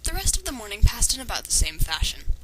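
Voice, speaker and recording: A woman's voice: on the high side, sing-song, fast, over music, low-quality recording. A woman reading fan fiction aloud with sarcastic, critical commentary. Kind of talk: dramatic reading with mocking commentary.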